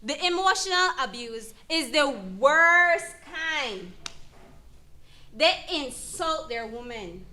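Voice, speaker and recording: A woman playing a firm, supportive counsellor, speaking deliberately and emphatically in an educational dramatization. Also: sits high, lively intonation, pushed and bright, exaggerated voice. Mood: instructive. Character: female counsellor, firm, supportive, explaining abuse to victim. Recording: clear background noise.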